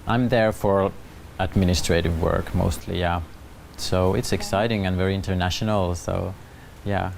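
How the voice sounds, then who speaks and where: A man's voice: low-pitched, fairly even intonation, moderate pace, vocal fry, slight background noise. A man in his thirties with a Finnish accent, answering casually and expressively as an interview guest. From Finland. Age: 30s. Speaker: talk show guest. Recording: guitar music under the talk.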